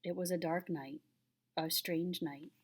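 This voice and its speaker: A woman's voice: lowish voice, steady tone, measured pace. A woman voicing a patient, solemn old grandfather who tells a tale in a grave, tender way, reading a children's picture book.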